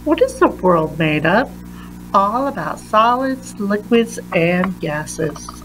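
Female read-aloud narrator for a kids' science book, unhurried and emphatic, faint noise behind. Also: mid-range voice, sing-song, a bit bright, poor audio. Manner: deliberate, emphatic phrasing for young listeners.

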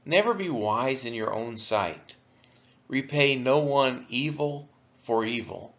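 A man reading scripture aloud in a measured, deliberate way, phrase by phrase with pauses. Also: mid-range voice, fairly bright tone, muffled recording. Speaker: scripture reader for a church.

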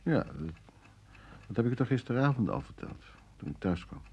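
An evasive, nervous man in a radio play. His is hesitant and defensive. The voice is husky with some fry, and its pitch swings widely. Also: sing-song, a little fast, highs cut off, heavily acted. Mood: subdued, evasive. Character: nervous, evasive ordinary man under pressure.

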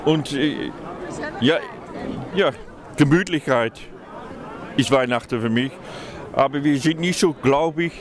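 Older Dutch-accented man, slow and hesitant, slightly high voice, crowd-noisy outdoor market. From Netherlands. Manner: slow, hesitant, many fillers. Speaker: market visitor. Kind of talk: street interview. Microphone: handheld mic held close. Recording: loud crowd behind.

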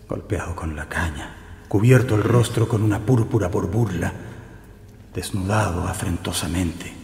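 An actor playing an anxious, devout, absent-minded shopkeeper in a Chilean radio drama. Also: male voice, deep voice, creaky, darkish tone, reverberant, big-room sound, a little hiss or hum.

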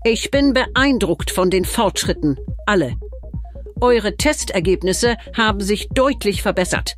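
A woman playing a firm, slow math teacher. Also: mid-range voice, lively intonation, soft background music, lightly acted. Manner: firm, instructive. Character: strict female math teacher, by the book. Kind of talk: children's audio drama.

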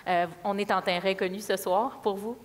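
A woman's voice: medium pitch, steady tone, brisk, background noise. A woman in her thirties with a Quebec French accent, moderating a panel discussion on stage with a headset mic. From Quebec, Canada. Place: large auditorium stage. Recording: reverberant hall.

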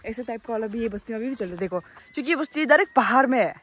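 A young woman from Arunachal Pradesh, chatting excitedly to her phone camera while vlogging around a hill village. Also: on the high side, rapid-fire, slightly rough. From Arunachal Pradesh, India. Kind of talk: casual vlog narration.

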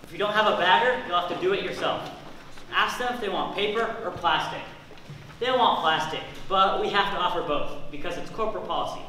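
A dismissive, jaded supermarket boss acted by a young man in a stage comedy. The voice is high and sharp with big swings in pitch, heard across an echoey stage. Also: a little fast. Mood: bossy. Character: jaded, dismissive male supermarket assistant manager. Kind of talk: amateur stage comedy acting. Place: theatre stage, distant camera. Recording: echoey stage from far off, faint noise.

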